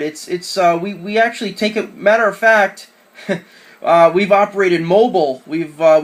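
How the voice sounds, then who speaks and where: A man chatting casually and enthusiastically as an amateur radio operator during a radio contact. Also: sits high.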